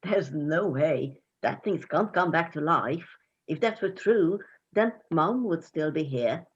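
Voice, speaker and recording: Woman as a husky, cheeky farm boy. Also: low voice, expressive, slow, lo-fi sound, heavily acted. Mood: bitter. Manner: flippant, blunt.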